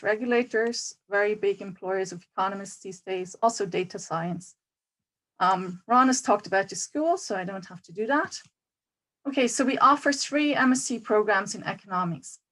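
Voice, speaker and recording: A woman's voice: medium pitch, a bit bright, low-quality recording. A woman who coordinates a degree programme, giving an orderly, explanatory information talk.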